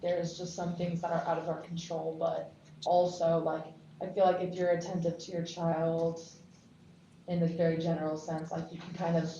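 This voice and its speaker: A woman's voice: lowish voice, little pitch movement, normal speed, dark tone. A woman talking casually in a group discussion, peppering her sentences with "like". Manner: conversational, frequent "like". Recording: dull, echoey, noisy room recording.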